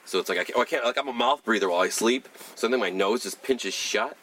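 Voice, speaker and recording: A man's voice: on the low side, fast, fairly bright tone, slight background noise. A young man co-hosting a podcast, telling rambling stories in casual chat, swearing and filling his talk with "like" and "dude". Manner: profane, rambling storyteller, lots of "like" and "dude".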